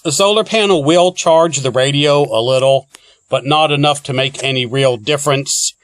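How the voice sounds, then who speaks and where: A man's voice: medium pitch, moderate pace. A man giving a plainspoken, informal walkthrough as a product reviewer.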